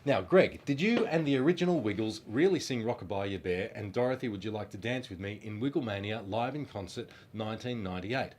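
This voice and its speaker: Stuffy-nosed Australian man, low voice. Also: lively intonation, a little fast, few pauses, a little mellow, a little hiss or hum. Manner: friendly, rambling, hesitant with ums. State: blocked nose from a cold. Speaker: former children's band singer answering fan questions. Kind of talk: casual Q&A reading fan questions.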